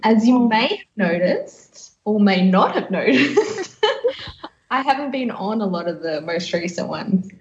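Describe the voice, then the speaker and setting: A woman's voice: medium pitch, big pitch swings, unhurried, noisy background. A woman co-hosting a podcast in casual chat, joking and self-deprecating, on a dull recording with room echo. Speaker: podcast co-host. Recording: roomy echo, dull lo-fi sound.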